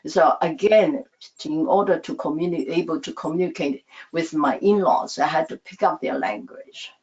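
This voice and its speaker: A woman born in Taiwan whose first language is Taiwanese, a hospital staff interpreter speaking in soft, halting non-native English on a video call. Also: sits low. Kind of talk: panel talk on a video call. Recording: dull lo-fi video call audio.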